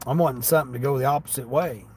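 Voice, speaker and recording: A man's voice: medium pitch, racing, slightly rough. A man with a slightly Southern American accent chats casually and ramblingly to livestream viewers in a folksy way. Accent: American with a Southern tinge. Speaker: livestream host, gig delivery driver. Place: inside a parked vehicle. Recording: steady vehicle and ambient noise.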